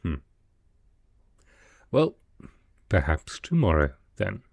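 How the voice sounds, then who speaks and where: A man performs a sickly, melancholy male aristocrat in an intimate audio drama. The voice is very deep and dark, recorded dry and close. He speaks slowly and softly, with formal, proud reserve. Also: very animated, gravelly, dry recording, full character voice. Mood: dry, resigned. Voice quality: low, soft, with a cough. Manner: formal, measured, dryly reserved. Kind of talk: roleplay ASMR audio drama.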